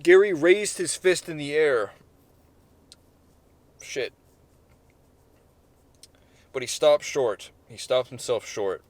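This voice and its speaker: A man's voice: fairly high pitch, sing-song, takes it slowly, pauses often, no echo. A man reading his own short story aloud, casually, with swearing and self-corrections.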